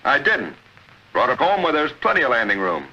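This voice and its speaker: A man's voice: sing-song, brisk. A man narrating a 1940s pilot training film in a folksy, matter-of-fact way, talking like an experienced flight instructor. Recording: muffled old film soundtrack with loud engine noise. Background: aircraft engine rumble.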